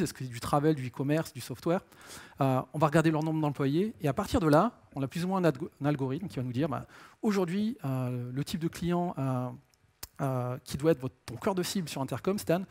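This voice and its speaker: A man's voice: on the high side, a little mellow. A man giving a business conference presentation as a sales director, explaining step by step and mixing in English business jargon.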